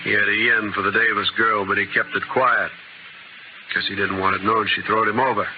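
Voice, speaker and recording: A man's voice: lowish voice, fairly even intonation, a little fast, lightly acted. An actor playing a cautious, sensible ranch foreman in a radio western, plainspoken, folksy and hesitant. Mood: somber. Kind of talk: 1950s radio western acting. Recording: noisy, muffled old radio broadcast recording.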